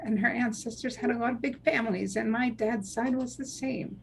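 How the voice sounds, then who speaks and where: A woman's voice: a little high, fairly even intonation, fairly quick, gravelly, crowd noise, low-quality recording. A woman from Alberta, Canada, introducing herself and chatting casually. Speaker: actress and writer.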